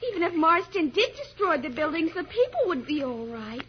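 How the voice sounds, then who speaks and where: A woman's voice: sits high, big pitch swings, brisk. A woman playing an anxious, inquisitive little girl in a plaintive, earnest vintage radio drama style. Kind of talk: 1950s radio drama acting. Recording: muffled vintage radio recording.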